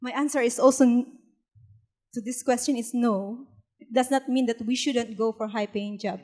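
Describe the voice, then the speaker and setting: A woman's voice: on the high side, fairly even intonation, moderate pace, darkish tone. A woman from the Philippines, a business PhD student on a panel, answering earnestly with a few fillers.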